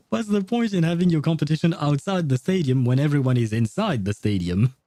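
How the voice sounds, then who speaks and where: Mellow, rambling man streaming. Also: a little high, animated, no room sound. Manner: halting, rambling, amused. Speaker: livestreamer. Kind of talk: casual live chat while gaming.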